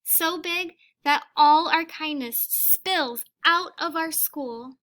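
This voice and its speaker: Woman, high-pitched voice, unhurried pace, children's read-aloud, expressive teacher delivery. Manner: expressive, deliberate storytelling, addressing young children. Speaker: teacher reading a picture book aloud to children. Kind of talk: children's story read-aloud with asides to listeners.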